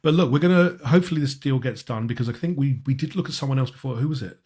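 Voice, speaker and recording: A man hosting a football podcast in casual, conversational talk, with a husky voice and a halting way of repeating words. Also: mid-range voice, darkish tone.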